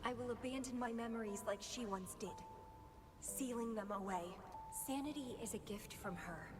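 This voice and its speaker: An actress voicing an intense, lovelorn and unhinged young woman fighter in a slow, theatrical video game monologue. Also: fairly high pitch, lightly acted. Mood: resolute. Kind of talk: dramatic video game voice acting. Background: dramatic game music.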